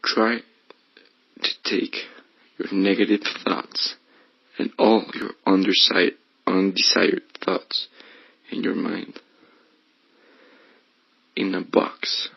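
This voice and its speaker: Male meditation guide, low pitch with gravelly fry, hushed delivery, slightly muffled recording. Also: steady tone, takes it slowly, long pauses, no room sound. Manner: hushed, breathy, often slipping toward whisper. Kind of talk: guided meditation, soothing instruction.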